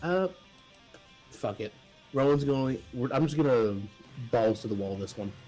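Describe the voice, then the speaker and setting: Casual mid-pitched man at game table. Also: animated, measured pace, warm tone, poor audio. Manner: informal, thinking aloud. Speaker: player in a tabletop role-playing game. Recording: faint music behind the voice.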